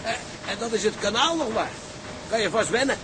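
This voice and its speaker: An actor playing a sober, worried tugboat officer in a radio drama. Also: male voice, high voice, animated, fairly quick, noisy background. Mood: concerned, steady.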